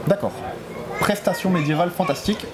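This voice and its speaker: A man's voice: sits high, brisk, music in the background. A man with an accent from France, hosting a casual on-location interview in a playful, self-deprecating, teasing way. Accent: French from France. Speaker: host, interviewer. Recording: busy crowd noise around. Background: crowd at a medieval festival.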